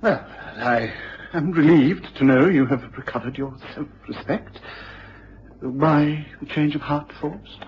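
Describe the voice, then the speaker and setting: A man's voice: on the high side, takes it slowly. An actor playing a proper, stiffly moral male college tutor in a radio drama, formal and fussy in speech. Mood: stern, relieved. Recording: dull, faintly noisy radio recording.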